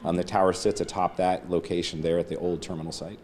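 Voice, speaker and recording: A man's voice: deep voice, flat delivery, quick pace, darkish tone, soft background music. A man speaking as an airport official, giving explanatory answers in an interview.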